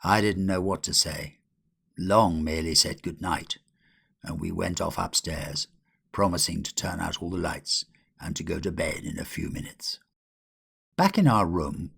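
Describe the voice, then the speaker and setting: An older English man reading a literary ghost story as an audiobook narrator, in a measured, expressive way. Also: low-pitched, vocal fry, slightly rough. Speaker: audiobook reader.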